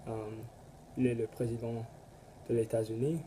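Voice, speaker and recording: Halting teenage boy from Canada, dark flat voice. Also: medium pitch, slight background noise, low-quality recording. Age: teens. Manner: halting, filler-heavy. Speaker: student. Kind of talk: casual self-introduction.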